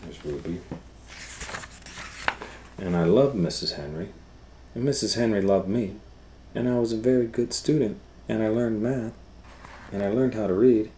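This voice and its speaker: A man reading a children's book aloud as a storytime educator, in simple, deliberate phrases with explanatory asides. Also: fairly low pitch, dark tone, a little hiss or hum.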